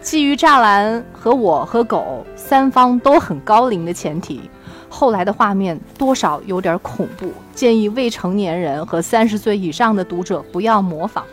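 A woman's voice: medium pitch, animated, moderate pace, faint music behind. A woman podcast host, chatting casually about a book and reading from it aloud.

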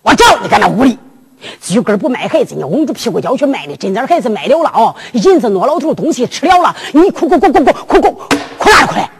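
A woman storyteller playing a cheeky, blustering young fisherman with a Henan accent and a folksy, excitable manner. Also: on the high side, fast talker, breathy edge, exaggerated voice. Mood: blustering, scolding. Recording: long reverberant hall echo.

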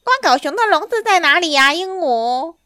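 A silly storybook parrot, mimics in a squawky, exaggerated way. The pitch is very high and swoops around, and the tone is piercingly bright. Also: female voice, expressive, normal speed, no room sound, studio quality, heavily acted. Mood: mimicking. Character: silly, kind-hearted parrot who mimics everyone's words. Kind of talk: children's storybook character voice.

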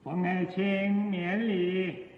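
An actor playing a lecherous, imperious emperor in a theatrical radio drama, with pompous, drawn-out courtly speech and a coaxing, self-satisfied air. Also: male voice, high voice, level tone. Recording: muffled phone-like audio, long echoing reverb.

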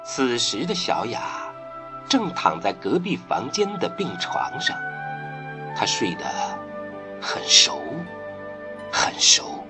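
A man narrating an audio drama as a solemn, hushed storyteller. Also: mid-range voice, huge pitch swings, takes it slowly, long pauses, music in the background.

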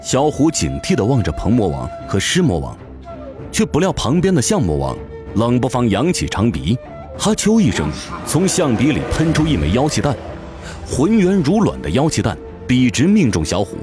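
A man's voice: medium pitch, wildly swooping pitch, normal speed. A man narrating a fantasy audio drama from a script, with intense, theatrical storytelling. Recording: music behind the voice.

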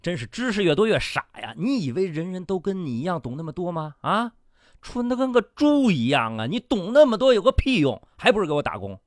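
Guy doing a nasty cynical boss in a radio play, super animated, dry close sound, talks down and swears. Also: high-pitched, fairly quick, no room sound. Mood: angry, badgering. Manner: domineering, swearing, condescending, badgering with questions. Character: bullying, cynical male media boss chasing clicks. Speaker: boss in a satirical radio drama. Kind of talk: radio drama acting.

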